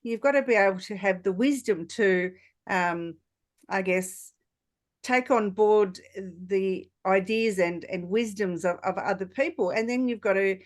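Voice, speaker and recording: A woman hosting an interview podcast, talking casually with informal, somewhat hesitant phrasing. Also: medium pitch. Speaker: podcast host.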